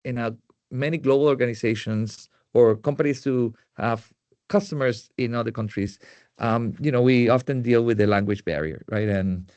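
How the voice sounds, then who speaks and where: A man with a Puerto Rican accent, a native Spanish speaker, giving a tech presentation in a halting way with many fillers and restarts. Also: medium pitch, darkish tone, no room sound. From Puerto Rico.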